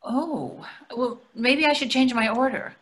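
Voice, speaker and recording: A woman's voice: on the high side, a little slow, a bit bright. An older woman playing a hungry, nagging wife who questions everything in a staged comedy reading over a video call. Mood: fretful. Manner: querulous, questioning. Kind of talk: readers theatre comedy acting. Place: indoor room on a video call. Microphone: video call microphone.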